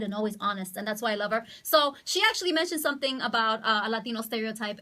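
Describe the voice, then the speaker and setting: A young woman with a high, bright voice hosts a casual call-in talk show. She is chatty and animated and laughs easily. Her voice sits a little back from the mic. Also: recorded from a distance. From Dominican American, New York.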